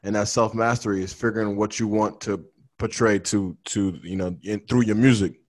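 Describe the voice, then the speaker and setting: A man's voice: fairly low pitch, steady tone, normal speed, darkish tone. A man interviewing a rapper, asking slangy, casual questions full of restarts. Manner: slangy, friendly, stacks hesitant restarts.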